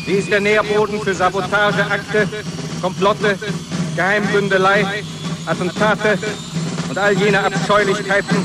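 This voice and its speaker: A fanatical party orator in a radio drama. He declaims in a high, strident voice that stays on a relentless, narrow pitch. Loud music plays beneath the speech. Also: level tone, measured pace. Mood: fervent, denouncing. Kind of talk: propaganda speech in 1970s German radio play.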